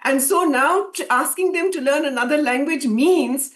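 A woman's voice: high voice, moderate pace. A woman professor on a panel, speaking emphatically and critically while explaining her argument. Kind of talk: panel debate.

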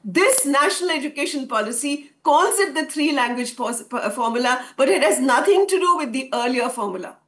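Firm, high-voiced professor. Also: female voice, lively intonation, fairly quick, few pauses. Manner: firm, assertive. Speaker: professor, panel guest. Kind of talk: TV panel debate.

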